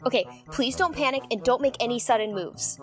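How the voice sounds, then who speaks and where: A woman's voice: on the high side, brisk, music in the background, close to an everyday voice. A woman voicing an earnest, suspicious, bossy teenage girl in a fan dub, speaking in an emphatic, lecturing way. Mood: stern, anxious.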